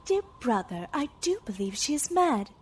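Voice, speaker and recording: A woman's voice: high-pitched, very animated, normal speed, full character voice. A woman playing a sadistic, imperious female weather mage in an audio drama, taunting her prey. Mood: taunting, predatory. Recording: clear background noise.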